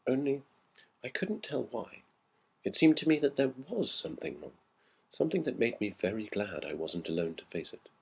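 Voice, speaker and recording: A man reading a classic ghost story aloud in a measured, dramatic storytelling manner. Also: mid-range voice, expressive, creaky, a little mellow, husky. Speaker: audiobook narrator.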